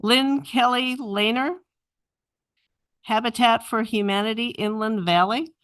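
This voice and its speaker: A woman's voice: fairly high pitch, steady tone, a little slow, a bit bright, no echo. A woman chairing a council meeting, briefly thanking speakers and calling the next name. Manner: brief, procedural. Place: council chamber.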